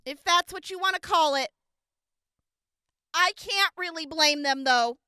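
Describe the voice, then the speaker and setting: A woman playing a gentle, earnest young wasteland medic giving courtroom testimony in an audio drama, speaking deliberately with weighty pauses. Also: very high-pitched, no room sound, clean studio sound, full character voice. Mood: sorrowful, candid.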